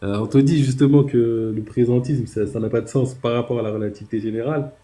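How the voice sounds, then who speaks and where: A man's voice: medium pitch, steady tone, fast talker, mellow. A man hosting a live online talk, chatting casually, laughing often and restarting his sentences. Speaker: host of live debate stream.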